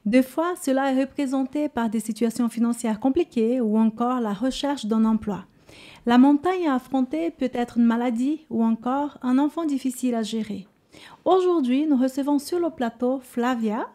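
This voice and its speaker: A woman with a fairly high, very mellow voice hosts an interview show. She presents informally and follows along attentively as she asks questions. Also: moderate pace. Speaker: host. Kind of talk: programme introduction and interview. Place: studio set.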